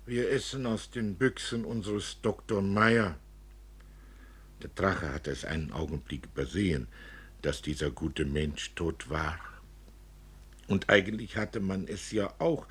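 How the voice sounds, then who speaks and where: A man narrating a literary story for radio in an expressive way. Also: low voice, slightly rough.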